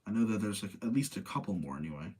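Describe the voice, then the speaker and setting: A man's voice: fairly low pitch, expressive, quick pace, a little mellow, husky. A tired man hosting a casual live rating session, chatting with his audience, rambling and thinking aloud as he gives his opinions. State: tired late at night.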